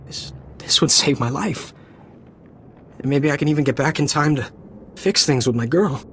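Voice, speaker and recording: A man's voice: a little high, moderate pace, a bit bright, a little hiss or hum. An actor playing a worn-out soldier who has deserted, stammering and nervous as he talks. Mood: pleading. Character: weary young male deserter soldier, nervous. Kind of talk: video game cutscene.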